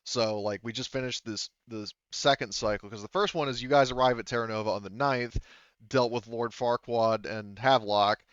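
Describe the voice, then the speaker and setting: A man's voice: medium pitch, fairly even intonation, normal speed, fairly bright tone, clean tone, no echo, muffled recording. A man casually explaining things as game master in a tabletop roleplaying game.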